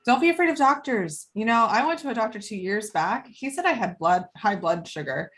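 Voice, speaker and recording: A woman's voice: fairly high pitch, animated, brisk, fairly bright tone. A woman playing a wiry, talkative gangster in her thirties in a film script table read, chatty, boastful and streetwise. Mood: chatty, needling. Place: remote call from home.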